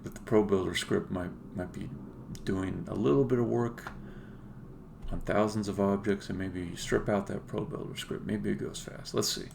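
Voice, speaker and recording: Low, very gravelly man thinking aloud. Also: steady tone, moderate pace, a little mellow. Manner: blunt, sweary, thinking aloud in fragments. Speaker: game developer streaming live coding. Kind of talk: casual unscripted live-coding commentary. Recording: faint background noise, keyboard clicks.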